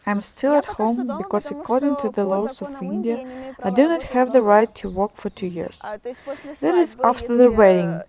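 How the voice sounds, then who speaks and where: A woman's voice: a little high, animated, normal speed, crowd noise, poor audio. A woman from Ukraine answering interview questions in simple, direct, English. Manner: simple, direct sentences in non-native English.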